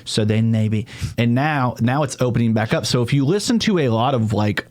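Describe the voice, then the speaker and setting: Rambling, cursing podcast host. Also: male voice, mid-range voice, lively intonation, brisk, darkish tone. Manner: loose, rambling, swears freely. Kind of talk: casual chat.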